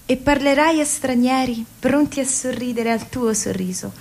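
Solemn, fairly high female oracle. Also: normal speed, darkish tone. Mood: solemn, prophetic. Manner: solemn, declamatory, poetic. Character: lyrical female oracle foretelling a wanderer's future. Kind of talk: poetic radio theatre recitation.

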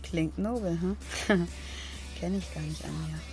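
Wry, creaky-voiced policewoman. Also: lowish voice, a little slow, over music, low-quality recording. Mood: probing, wry. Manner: dry, ironic, clipped colloquial. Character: cheeky sardonic female police detective, weary but defiant. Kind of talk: naturalistic radio crime drama acting.

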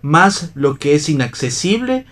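Philosophical vagabond, tender yet bitter. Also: male voice, a little high, big pitch swings, a little slow, fairly bright tone. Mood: firm. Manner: declamatory, literary phrasing. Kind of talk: staged reading of a stage play for radio.

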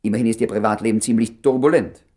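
An actor playing a concerned, familiar doctor friend in a German radio play, speaking in a dry, measured, understated way. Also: male voice, lowish voice, fairly even intonation, darkish tone, lightly acted. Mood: cautious, probing.

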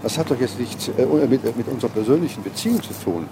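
A man's voice: mid-range voice, lively intonation, quick pace. Hesitant middle-aged man amid city noise. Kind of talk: casual conversation. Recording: clear street and traffic noise.

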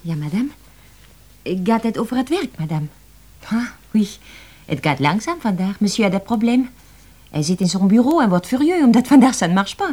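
An actress playing a chatty, unflappable young French cook in a radio play, with a French accent and cheerful talk peppered with French words. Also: female voice, mid-range voice, lively intonation, normal speed, darkish tone, lightly acted. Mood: polite, philosophical.

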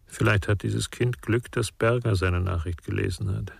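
An actor playing a reserved, calm man in a radio crime drama, laconic, with a gravelly, husky voice. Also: fairly low pitch, moderate pace, dry recording, full character voice.

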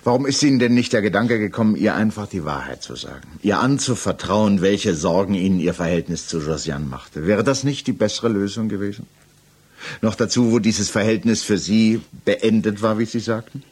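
An actor playing a probing, sceptical examining magistrate in a German radio play, speaking precisely and pointedly. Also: male voice, lowish voice, animated, normal speed, a little mellow, breathy edge. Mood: pressing, accusing.

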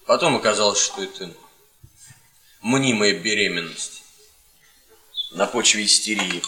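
An actor playing a restrained, self-regarding young academic husband in a radio play, with a stiff, polite, measured manner. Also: male voice, lowish voice, sharp tone. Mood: confiding. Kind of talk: theatrical radio play acting. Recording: echoey room radio theatre recording.

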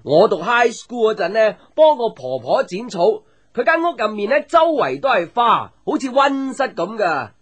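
A man's voice: sits high, very animated, moderate pace, fairly bright tone, breathy edge, full character voice. An actor playing a chatty, boyish young man with a Hong Kong Cantonese accent, telling stories playfully and confidingly in a radio drama. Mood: chatty, light. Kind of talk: radio drama acting, casual storytelling chat.

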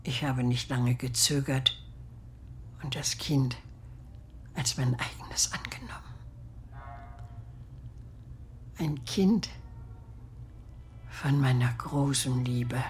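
An actress playing a grieving war survivor in a radio play, confiding guilt and secret love in measured, halting phrases that often sink toward a whisper. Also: female voice, extremely low pitch, slight background noise. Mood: tender, subdued confession. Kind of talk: dramatic first-person radio play monologue.

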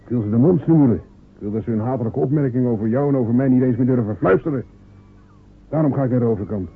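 Male actor as a small, stubborn fisherman; earnest, defiant; husky tone, thin lo-fi radio sound. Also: mid-range voice, quick pace. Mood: determined. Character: proud small fisherman John, stubborn, wants respect. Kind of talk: radio play acting. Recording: narrow phone-like old radio recording.

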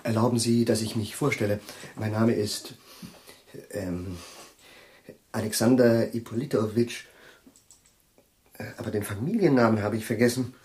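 A man's voice: lowish voice, moderate pace, long pauses, a little mellow, slight background noise, lightly acted. A man playing an absent-minded, flustered chemistry professor in a radio play, hesitating and searching for words with polite nervousness. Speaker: professor Jefrosimow. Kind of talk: 1980s radio play acting.

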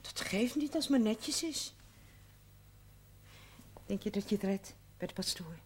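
A woman's voice: mid-range voice, lively intonation, unhurried, lightly acted. An actress playing a plain, sincere, reassuring village woman in a television period drama. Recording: faint noise, old TV broadcast audio.

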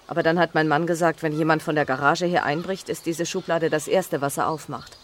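Married woman under suspicion played by an actress: slightly low voice, genteel, slippery, rueful, crime radio play. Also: steady tone, a little fast, a little hiss or hum, natural voice. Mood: evasive, uneasy. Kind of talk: radio crime drama acting.

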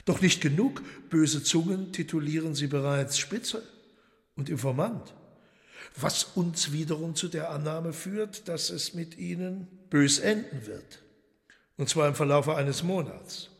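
A menacing devil host holds court in a radio play. His fairly high male voice crackles with gravel and rings through a long hall reverb. He is formal and deliberate, all polished mock courtesy. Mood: silky menace.

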